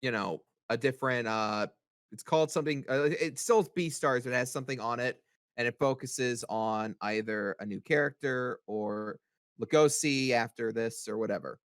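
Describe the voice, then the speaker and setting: A man's voice: medium pitch, normal speed, fairly bright tone, dry recording, studio quality. A man chatting casually about manga as a co-host on a panel podcast.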